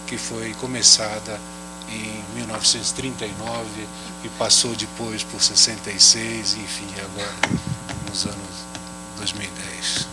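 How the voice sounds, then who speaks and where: Man, non-native speaker, unhurried panel talk, frequent "né?", low voice, humming noise. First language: not Portuguese. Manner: unhurried, adds "né?" after many phrases. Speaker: round-table panelist. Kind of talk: speech at an academic round table. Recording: audible hum under the voice.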